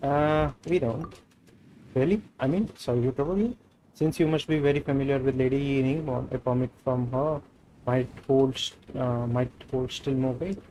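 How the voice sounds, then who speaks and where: A man's voice: mid-range voice, moderate pace, dark tone, a little hiss or hum, poor audio. A man with an Indian accent, a native Hindi speaker, doing live gameplay commentary and reading dialogue aloud. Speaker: game streamer.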